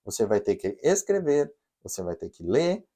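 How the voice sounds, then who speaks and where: A Brazilian man with a mellow, warm tone co-hosts a casual podcast chat. He explains things patiently and speaks straight to his listeners with practical advice. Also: lowish voice, big pitch swings, moderate pace. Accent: Brazilian Portuguese. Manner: explanatory, advising listeners directly. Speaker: podcast co-host. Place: living in Canada.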